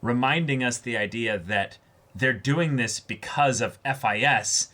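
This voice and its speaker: A man's voice: mid-range voice, a little slow, sharp tone. A man co-hosting a casual anime discussion, emphatic and prone to repeating himself. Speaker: reaction show co-host.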